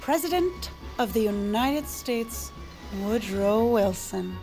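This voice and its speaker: A vain, flirtatious socialite mistress holds forth in a comedic audio drama. Her voice is high. She is theatrical and coquettish, savouring her own charms. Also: animated, slow, dark tone, soft background music. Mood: fond, sly. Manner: theatrical, self-admiring, coquettish. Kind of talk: comedic monologue in audio fiction.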